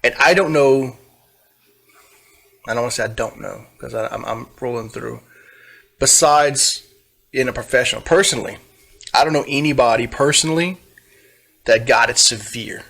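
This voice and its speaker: A man's voice: mid-range voice, normal speed, creaky, long pauses, a bit bright, slightly rough. A man co-hosting a casual podcast chat, who talks in a blunt and skeptical way. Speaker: podcast co-host.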